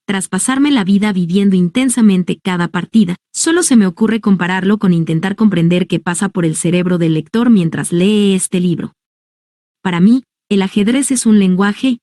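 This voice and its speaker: A woman reads a nonfiction book aloud in a calm, measured voice. Her tone is mellow and dark, and the recording is dry and close, with no room sound. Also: medium pitch, no echo. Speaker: audiobook reader. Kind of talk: scripted narration of a nonfiction book.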